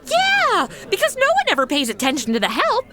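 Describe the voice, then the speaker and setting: A woman's voice: very high voice, huge pitch swings, fairly quick, pushed and bright, heavily acted. A woman playing a snarky modern wisecracker in a comedy audio drama, speaking in casual, sarcastic asides. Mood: wry, chatty.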